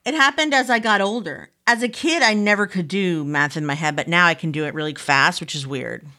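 A woman in her forties talking in an animated, self-deprecating way as a co-host in a casual chat. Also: fairly low pitch, brisk. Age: 40s. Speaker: podcast co-host.

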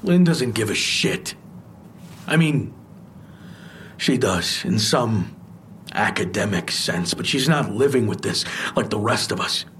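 An actor in an audio drama playing an intense, wounded trauma survivor, speaking in an earnest, insistent, confessional way. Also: male voice, fairly low pitch, lively intonation, takes it slowly, long pauses, fairly bright tone, a little hiss or hum. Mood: bitter, insistent. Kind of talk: naturalistic audio drama acting.

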